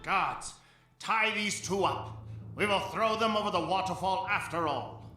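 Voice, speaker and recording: A man's voice: high-pitched, measured pace. A man playing a menacing, greedy villain in a radio drama, taunting and theatrical in delivery. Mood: gloating menace. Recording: echoey, loud music underneath.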